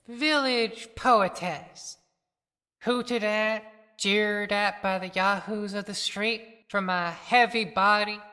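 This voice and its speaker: Guy doing a sad mocked village poetess, plaintive and slow, long echo, audio play. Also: high-pitched, pushed and bright, heavily acted. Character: mocked, lovelorn village poetess, mournful dead young woman. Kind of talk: dramatic monologue in an audio play. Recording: long cavernous reverb.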